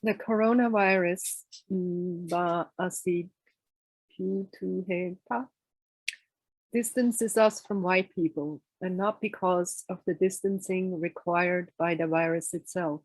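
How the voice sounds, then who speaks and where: A woman reading a report aloud in a measured way, careful with unfamiliar words, on a video call. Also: on the low side, steady tone, dry recording, poor audio. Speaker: reader in a group reading.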